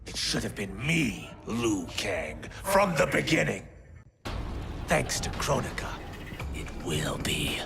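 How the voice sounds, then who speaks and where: Envious villain, gravelly, slow, swooping pitch, menacing, scornful, game cutscene. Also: male voice, very animated, full character voice. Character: resentful, envious male villain rival of the hero. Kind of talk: dramatic video game villain acting. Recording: music behind the voice.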